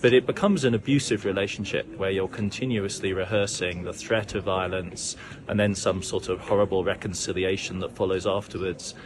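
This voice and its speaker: A man's voice: low voice, fairly even intonation, a little fast, noisy background. A man giving articulate, critical political commentary.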